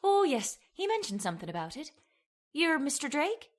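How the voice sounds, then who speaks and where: Lady doing a polite servant woman from an old radio horror show, highish, very proper. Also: very animated, normal speed. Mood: formal, courteous. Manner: formal, deferential. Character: polite female servant admitting a visitor.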